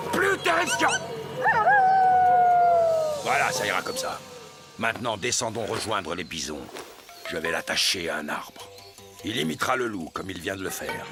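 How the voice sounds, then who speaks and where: A man voicing a scheming, bad-tempered hunter in an animated series, menacing and blustering as he exclaims. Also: medium pitch. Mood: scheming, commanding. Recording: music behind the voice.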